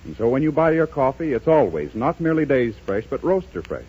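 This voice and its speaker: A man with an American accent delivers a vintage 1930s radio sales pitch. His voice is husky and his delivery swings expressively with earnest emphasis. The sound is dull, old broadcast audio. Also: sing-song, brisk. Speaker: radio advertisement announcer.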